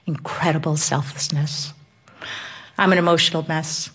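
Low-voiced woman reading a reflective essay. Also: steady tone, normal speed, a bit bright, slightly rough. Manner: earnest, emotional.

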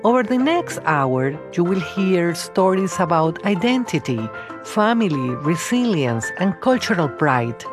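A woman presenting a program as host, reading a scripted introduction in a formal announcing style. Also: fairly low pitch. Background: music.

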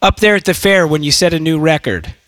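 A man hosting an interview in lively, unscripted chat, animated and jokey, quick to exclaim. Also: on the high side, no echo. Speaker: interviewer and show host.

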